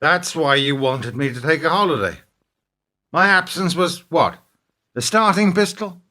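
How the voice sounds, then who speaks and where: Principled middle-aged businessman, wounded, for radio play male actor; lightly raised pitch, forceful edge, wry pointed phrasing. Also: animated, unhurried. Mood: bitter, wry. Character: wounded, principled businessman confronting his treacherous partner.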